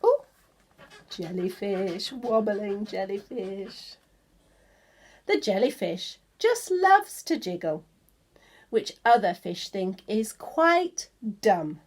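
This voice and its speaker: A woman's voice: medium pitch, animated, slow. A woman reading a children's picture book of verse aloud, stretching words for emphasis and pointing things out to young listeners.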